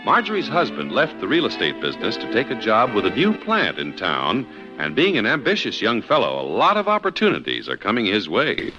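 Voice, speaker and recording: Very animated low-voiced old radio narrator, male. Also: a little fast, faint music behind. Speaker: announcer-narrator. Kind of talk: 1950s radio comedy scripted narration. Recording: muffled 1950s radio recording.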